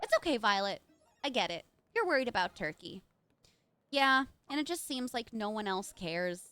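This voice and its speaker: Nora, a modest young woman who makes art and games, read aloud by a woman streamer, with a soft, steady, reassuring manner. Her voice sits fairly high yet moves in lively swings, with a bright, clear tone and faint music underneath. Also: normal speed. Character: calm, modest young woman artist and coder.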